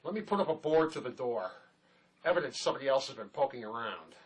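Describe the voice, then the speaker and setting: A man's voice: mid-range voice, lively intonation, fast, close to an everyday voice, rough recording. A man acting as a laid-back, resourceful escaped convict in a drama, speaking in a dry, folksy, matter-of-fact way. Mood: dry, reassuring. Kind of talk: dramatic script performance.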